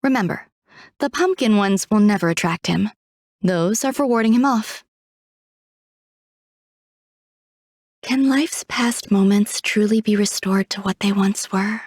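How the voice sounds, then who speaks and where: Serene female scientist, video game character, aloof, refined, poetic, measured delivery, mid-range pitch, dry close sound. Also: darkish tone, husky, no echo. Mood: detached, composed. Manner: composed, poetic, measured, coolly detached.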